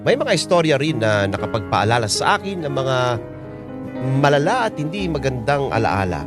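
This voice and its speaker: A man with a Filipino accent reads as an actor, and tells a personal story in measured, wistful drama narration. Music plays clearly behind the reflective voice. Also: medium pitch, big pitch swings, natural voice. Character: reflective small business owner telling a life story.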